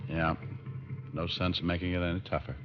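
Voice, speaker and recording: A man's voice: low-pitched, flat delivery, moderate pace, lightly acted. An American man playing a tough, wry private detective in old radio crime drama, with clipped, dry delivery. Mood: dry, steady. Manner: clipped, hard-boiled, dry. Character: tough, wry male private detective narrating his case. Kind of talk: 1940s-50s radio crime drama acting and narration. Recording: dull old radio recording with music.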